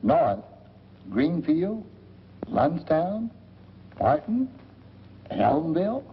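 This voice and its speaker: Slow-drawling, folksy small-town lodger. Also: male voice, a little high, very animated, heavily acted. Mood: nosy. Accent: American. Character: grasping, folksy, blunt small-town lodger, adult man. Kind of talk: 1940s film acting. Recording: muffled, reverberant, noisy old film soundtrack.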